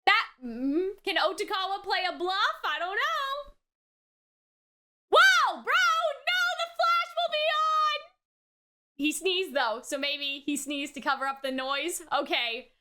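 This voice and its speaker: Female reaction host, very high-pitched, unhurried delivery, exclamatory and unscripted. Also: bright, forceful tone. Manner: exclamatory, repeats words, thinks aloud. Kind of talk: casual off-the-cuff reaction commentary on anime.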